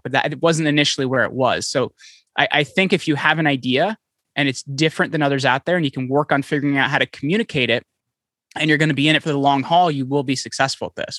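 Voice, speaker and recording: A man talking fast and eagerly as a podcast guest, piling up quick restarts as he tells his story. His voice is bright and forward. The sound is dry and close, with no room around it. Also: medium pitch, no echo. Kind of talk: conversational interview. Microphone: podcast microphone, remote call.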